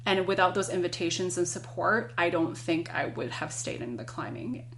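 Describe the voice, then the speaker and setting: A non-binary person in their thirties, a podcast guest, speaks earnestly and reflectively, telling a personal story in a measured way. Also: mid-range voice, animated, a bit bright, a little hiss or hum, poor audio. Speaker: podcast guest, climbing guide. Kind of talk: conversational interview.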